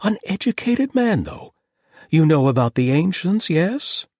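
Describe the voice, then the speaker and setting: A man voicing a nervous, babbling young homeowner who apologizes and gets excited easily, with a husky edge to his voice. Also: a little high, moderate pace, dry recording, pitched above the performer's own voice, full character voice. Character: nervous, babbling young male house owner. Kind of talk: audiobook character voice.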